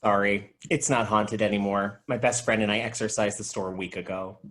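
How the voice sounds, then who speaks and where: A man's voice: fairly low pitch, fairly even intonation, a little fast, a bit bright, lightly acted. Goofy, chatty male video store clerk, acted. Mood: matter-of-fact. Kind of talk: table read of a comedy TV pilot.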